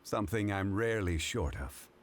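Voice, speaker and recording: An actor playing a composed, scholarly gentleman in a video game, speaking in a formal, measured, courteous way. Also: fairly low pitch, lively intonation, slight background noise, lightly acted. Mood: amused, composed.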